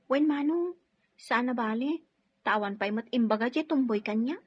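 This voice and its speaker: A woman's voice: fairly high pitch, expressive, moderate pace, slightly rough, no room sound, muffled recording. A woman with an Ilocano Filipino accent acting an emotional, curious young student in an audio drama. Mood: earnest.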